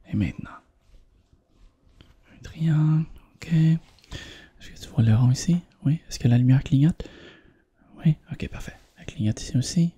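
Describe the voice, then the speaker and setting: A man with a Quebec French accent doing a soft, hushed, reassuring ASMR roleplay as an examiner. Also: on the high side, husky.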